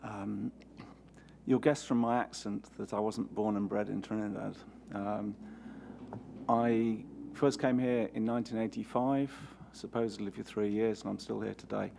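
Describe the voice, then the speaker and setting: An older man giving formal testimony to a committee as an actuary, measured and hesitant with many restarts. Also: fairly low pitch, steady tone, creaky, warm tone, a little hiss or hum. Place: parliamentary committee room.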